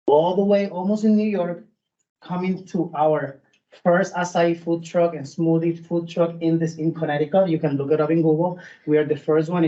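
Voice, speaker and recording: A man with a strong Puerto Rican accent giving an earnest, halting public comment as a food truck owner at a city meeting. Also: a little high, warm tone, highs cut off. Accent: Puerto Rican Spanish. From Puerto Rico. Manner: earnest, halting, many restarts and fillers.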